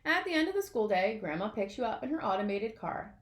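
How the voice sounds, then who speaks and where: A woman reading a children's picture book aloud in an expressive way, as if to young listeners. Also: mid-range voice, fast talker, reverberant. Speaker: storybook reader.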